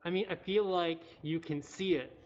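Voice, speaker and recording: Woman livestream host, low voice, informal and affectionate with animals, dull audio with faint music behind. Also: moderate pace, darkish tone. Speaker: cat cafe livestream host. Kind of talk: casual livestream chat. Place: indoors in a cat cafe.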